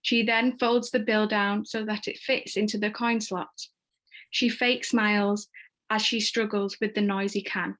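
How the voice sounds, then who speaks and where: A woman's voice: mid-range voice, little pitch movement, measured pace, a bit bright, poor audio. A woman narrating screenplay stage directions at a table read. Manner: reads screenplay action descriptively.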